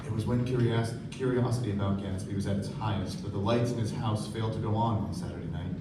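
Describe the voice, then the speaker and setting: A man's voice: on the low side, fairly even intonation, fast, very noisy, lightly acted. A man acting a reflective young narrator who recalls wealthy friends in scripted literary prose for a live radio play. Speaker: actor narrating. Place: stage of a live performance. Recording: echoey stage from far, music behind.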